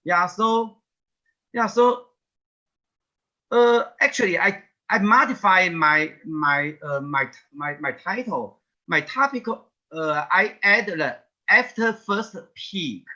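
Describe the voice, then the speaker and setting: A man giving a webinar presentation over a video call, talking haltingly with many "yeah" fillers and restarts. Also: sits high, sharp tone, dull audio. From Taiwan. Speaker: guest presenter.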